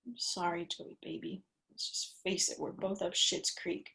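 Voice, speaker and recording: A woman's voice: on the low side, flat delivery, normal speed, gravelly, pushed and bright, slightly rough, exaggerated voice, rough recording. A woman playing a bitter, wounded homeless woman in a dramatic table reading, mocking and needling with a raw edge. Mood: resigned. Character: bitter, wounded, street-hardened homeless woman, taunting and seductive.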